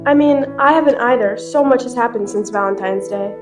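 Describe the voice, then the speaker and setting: A soothing young bride, played by a woman, in an amateur radio play. Her fairly high voice sounds away from the mic, with guitar music clearly behind it. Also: a little fast, lightly acted. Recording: distant voice under loud guitar music.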